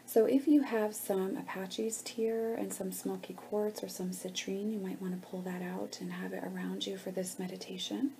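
A woman leading a guided meditation in a gentle, hushed, soothing manner with pauses between phrases. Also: medium pitch, little pitch movement, gravelly, slight background noise.

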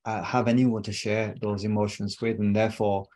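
Man in his 50s, lowish voice, thoughtful, repeats words as he goes, video call from home. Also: little pitch movement, brisk, darkish tone. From Britain. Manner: reflective, repeats words while searching for phrasing. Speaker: relationship coach guest. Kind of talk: casual interview talk. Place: home room with bookshelves.

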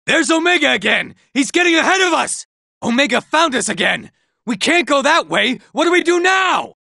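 A man voicing a nervous, boastful male robot soldier in a video game, shouting in panic and tossing out sarcastic asides. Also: extremely high pitch, big pitch swings, normal speed, creaky, no room sound, clean studio sound, heavily acted. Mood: panicked.

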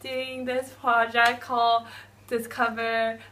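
A young woman introducing herself to a camera in a small office, with many fillers and pauses. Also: on the high side, little pitch movement, fairly bright tone. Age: young adult. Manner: hesitant, filler-heavy. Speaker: team member. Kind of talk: casual self-introduction. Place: small office with green walls. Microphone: far camera. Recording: distant camera, faint hiss.

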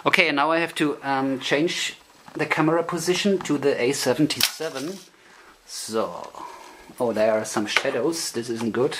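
Male, German accent, native German speaker. Casual demonstrator, hesitant and self-conscious, mid-pitched, on noisy amateur camera audio. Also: normal speed. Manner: hesitant, self-conscious, searching for English words. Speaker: hobbyist demonstrator. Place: indoor room, beside tape machines. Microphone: camera microphone.

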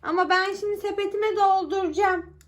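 A woman's voice: very high-pitched, fairly even intonation, normal speed. A woman chatting casually and playfully with her small son as his mother, coaxing and encouraging him with simple questions. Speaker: mother playing pretend with her young son.

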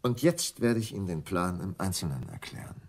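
An actor playing a cool, calculating husband and plotter in a radio crime drama, speaking in a controlled, commanding way. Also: male voice, low-pitched, very animated, brisk, husky, heavily acted.

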